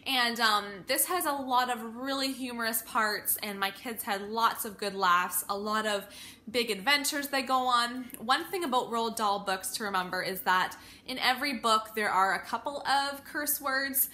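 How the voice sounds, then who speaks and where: A woman's voice: fairly high pitch, moderate pace, pushed and bright. A woman in her thirties talking informally in a casual, chatty review vlog, working through her opinions conversationally with some hesitation. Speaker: homeschooling parent vlogger.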